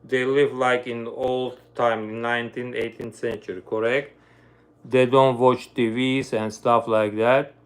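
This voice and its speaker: A middle-aged man with an Armenian accent, a violinist chatting casually with viewers on a live stream, pausing to search for words in his third language. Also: medium pitch, little pitch movement, clear, resonant tone. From Armenia, grew up in the Soviet Union. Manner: searches for words, fillers, broken grammar. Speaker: livestream host, violinist.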